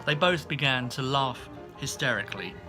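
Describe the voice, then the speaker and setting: A man reading a humorous first-person memoir as an audiobook, in an expressive storytelling manner. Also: medium pitch, normal speed, over music. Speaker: audiobook narrator.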